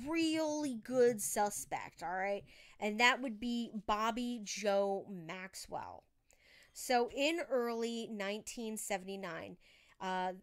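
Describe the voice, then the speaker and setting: Slow-paced, clear-voiced woman podcaster. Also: medium pitch. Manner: informal, conversational, emphatic asides. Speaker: true crime podcast host. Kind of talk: casual true crime storytelling.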